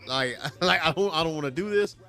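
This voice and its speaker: Male co-host, bright tone, congested, easygoing rambling talk. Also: on the high side, fairly quick, soft background music. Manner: laid-back, rambling, laughs easily. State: stuffy nose from allergies. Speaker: podcast co-host. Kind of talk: casual chat.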